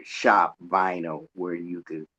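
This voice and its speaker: A man in casual chat on a radio show, halting as he searches for words, over a dull lo-fi online connection. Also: low voice, flat delivery, sharp tone.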